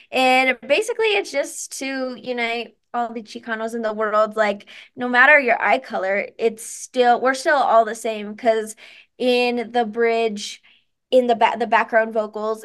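A young woman with a fairly high voice chats in a relaxed, upbeat way. She talks as a guest singer on an interview show, with plenty of "um"s and false starts. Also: smooth. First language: English. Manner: chatty, upbeat, with frequent fillers and restarts. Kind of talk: casual interview chat.

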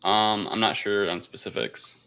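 A man's voice: fairly low pitch, steady tone, normal speed, sharp tone, smooth. A man hosting a casual podcast film review, speaking in a hesitant, unscripted, rambling way. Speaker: podcast host.

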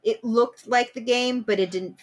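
A woman co-hosting a podcast, chatting casually about movies in an expressive way, with exclamations and reactions. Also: a little high, moderate pace. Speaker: podcast co-host.

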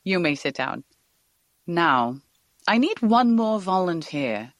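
A woman's voice: fairly low pitch, big pitch swings, takes it slowly, no room sound, clean studio sound. An actress playing a poised, persuasive woman scientist, formal and polished, in an audio drama. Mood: gently firm.

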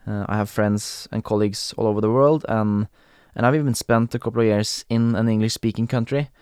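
A young man from Norway hosting a podcast in English with a Norwegian accent, talking casually with frequent pauses and fillers. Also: fairly low pitch, fairly even intonation, darkish tone, clear, resonant tone, no room sound, clean studio sound. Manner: hesitant, many fillers and restarts, laughs often. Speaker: podcast host. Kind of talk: casual solo podcast talk.

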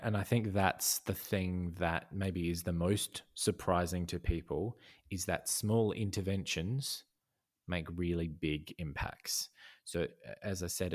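A man talking as a podcast guest in a casual conversation, thoughtful, with many fillers and restarts. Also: deep voice. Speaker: podcast guest, design educator.

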